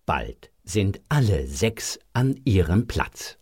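Low, husky male storyteller. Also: very animated, slow, a little mellow. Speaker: narrator. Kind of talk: scripted children's audio drama narration.

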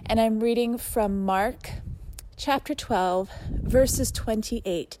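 A woman reading scripture aloud in a measured, deliberate way, recorded outdoors while walking along a street. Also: on the high side, dark tone, no room sound, rough recording. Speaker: scripture reader. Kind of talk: read-aloud scripture with spoken introduction. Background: street noise.

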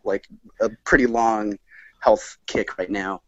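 A man's voice: on the low side, steady tone, slow pace, no echo, highs cut off. An American man in his thirties chatting casually as a podcast guest, rambling and self-deprecating as he talks. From rural southern Maryland, United States. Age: 30s. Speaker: podcast guest, touring musician and recording engineer. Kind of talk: casual chat.

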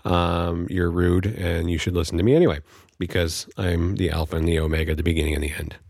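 Male podcast host, Californian accent, low gravelly voice, informal and rambling, recorded dry and close. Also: steady tone, fairly quick, darkish tone, dry recording. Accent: Californian American. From California, United States. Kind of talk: casual solo podcast monologue.

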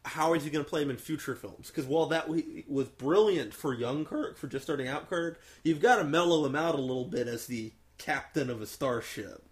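A man's voice: on the high side, fairly quick, hardly any pauses. Enthusiastic, casually profane man hosting fan chat. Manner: enthusiastic, emphatic storytelling with acted-out quotes. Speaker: host of a film review discussion.

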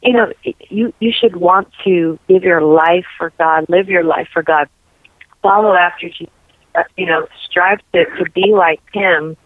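A woman's voice: fairly low pitch, measured pace, no room sound. A woman from New York and New Jersey tells her story as an interview guest. She talks earnestly and wanders through her thoughts, leaning on "you know" fillers. Her voice comes through a tinny, phone-like line with no room sound. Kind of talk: casual testimony interview.

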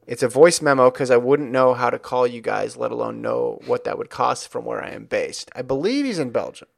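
Middle-aged podcast host, gravelly and dry, chatty and rambling. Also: male voice, medium pitch, lively intonation, a little fast, no echo. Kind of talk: casual chat.

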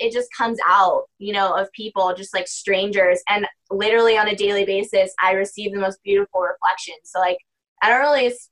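A woman's voice: medium pitch, fairly bright tone, rough recording. A young woman talking casually as a podcast guest, rambling with lots of filler words. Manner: rambling, heavy use of "like" and "you know". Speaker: podcast guest, spiritual practitioner. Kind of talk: casual interview chat.

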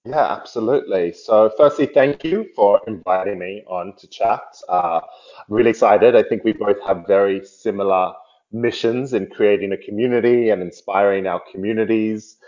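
Australian guy in his 30s, lowish voice, measured interview answers, muffled call audio. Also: a little mellow. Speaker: podcast guest. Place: at home in London. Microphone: remote call.

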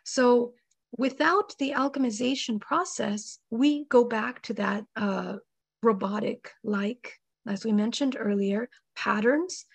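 A woman, hoarse from a cold, speaking slowly and deliberately with many pauses in a spiritual panel discussion. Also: a little high, dry recording. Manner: slow, measured, many ums. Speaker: panel guest.

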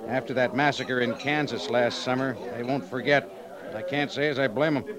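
Man as measured cavalry major, busy background. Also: mid-range voice, fairly even intonation, a crowd in the background, close to an everyday voice. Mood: measured, skeptical. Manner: measured, formal, authoritative. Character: measured, courteous cavalry major commanding a frontier fort. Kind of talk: 1950s western radio acting.

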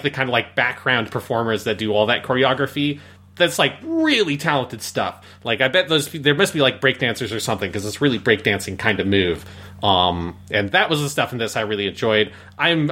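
A man's voice: mid-range voice, sharp tone. A man co-hosting a podcast in a casual, rambling way, peppering his talk with "like". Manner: rambling, informal, frequent use of "like". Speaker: podcast co-host.

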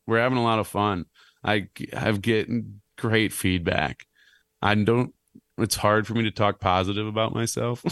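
A man in his thirties with a low, slightly raspy voice, chatting casually on a podcast as a guest, rambling and self-deprecating. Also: no echo, clean studio sound. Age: 30s. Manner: rambling, self-deprecating, animated when joking. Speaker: clown and musician guest.

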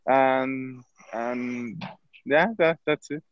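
A footballer from Mexico, speaking English with a Mexican Spanish accent, hesitant and searching for words. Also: male voice, mid-range voice, drawn-out words, no echo, dull audio. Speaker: football player. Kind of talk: interview.

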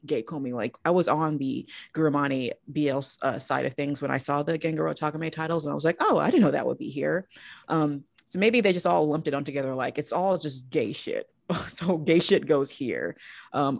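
A woman with a low voice leads a casual podcast chat, recorded close and dry. Her hosting is irreverent, with crude humor dropped into plain conversation. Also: vocal fry, no echo. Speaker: podcast host leading the discussion.

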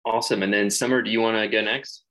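A man's voice: lowish voice, fairly even intonation, fast, a bit bright. A young man hosting a student podcast, upbeat and steering the conversation. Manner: upbeat, guiding questions. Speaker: podcast host.

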